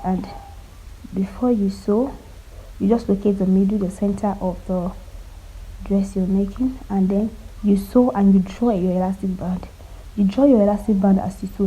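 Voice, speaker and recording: A woman with a cold walking viewers through cutting and sewing a top, speaking quietly and stopping often to check they are following. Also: fairly even intonation, moderate pace, breathy edge, poor audio. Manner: quiet, low-volume delivery. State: has a cold. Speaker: sewing tutor. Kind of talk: casual step-by-step sewing tutorial.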